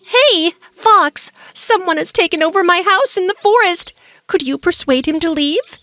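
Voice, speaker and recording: A woman's voice: very high-pitched, expressive, unhurried, bright, forceful tone, no echo, pitched above the performer's own voice, exaggerated voice. A woman voicing a small, cute female rabbit in a children's story, pleading and desperate. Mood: alarmed, pleading. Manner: pleading, exclamatory.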